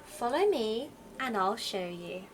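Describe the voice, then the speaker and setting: Woman as a high, gentle mother owl. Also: expressive, slow, background noise. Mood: gentle, encouraging. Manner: reassuring, motherly. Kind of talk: children's storybook character voice.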